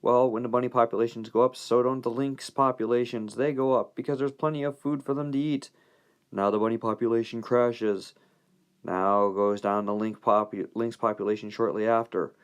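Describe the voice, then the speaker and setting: A man with a medium-pitched voice narrates a lesson for his students. He sounds informal and conversational, explaining things in a folksy way and dropping in playful asides as he goes. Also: steady tone, moderate pace, smooth. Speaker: biology teacher.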